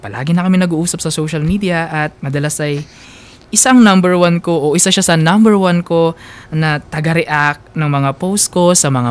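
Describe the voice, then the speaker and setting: A man with a Filipino accent narrating a first-person love story as a radio host. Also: a little high.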